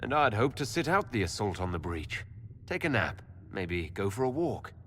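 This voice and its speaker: An actor playing a composed, dry-witted hero and leader in a fantasy video game. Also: male voice, on the low side, very animated, a bit bright. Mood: dryly amused. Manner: measured, pragmatic, dryly joking. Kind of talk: video game dialogue acting. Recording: faint background noise.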